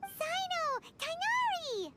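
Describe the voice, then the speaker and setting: A woman voicing a tiny, childlike, cheerful fairy companion in an anime video game, with squeaky, chirpy delivery. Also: big pitch swings, slow, a loud crowd, exaggerated voice. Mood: friendly, excited. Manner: squeaky, chirpy, refers to self in third person. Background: game music.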